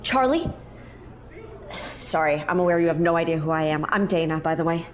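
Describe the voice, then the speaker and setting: A woman's voice: deep voice, expressive, fairly quick. A woman acting in an audio drama as a sardonic, anxious paranormal investigator. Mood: uneasy.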